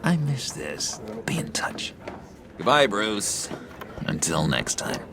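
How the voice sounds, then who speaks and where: Unhinged needy game character, low creaky voice, swoops around, stammers, could snap. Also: male voice, big pitch swings, background noise, exaggerated voice. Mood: ingratiating. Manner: halting, stammering, theatrically dramatic. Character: unhinged, needy man with a volatile temper. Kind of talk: video game voice acting.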